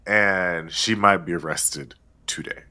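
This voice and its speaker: A man's voice: deep voice, slow, bright, forceful tone. A man co-hosting a casual recap chat, giving dry, wry commentary. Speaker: podcast co-host.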